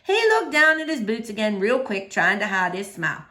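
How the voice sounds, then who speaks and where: A woman narrating a children's story aloud in an expressive storytelling style. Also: medium pitch, moderate pace, roomy sound. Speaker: storybook reader.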